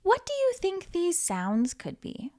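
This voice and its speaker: A woman reading a children's book aloud as a storytime host, in an expressive, playful manner with questions to the listener and dramatic pauses. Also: very high-pitched. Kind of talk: animated children's read-aloud.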